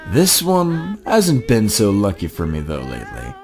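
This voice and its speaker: A man's voice: on the low side, wildly swooping pitch, unhurried, darkish tone. A man voicing a weary, sardonic saxophone-playing gambler in an audio drama, with wry, dry deflections. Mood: evasive, sardonic. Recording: music under the voice.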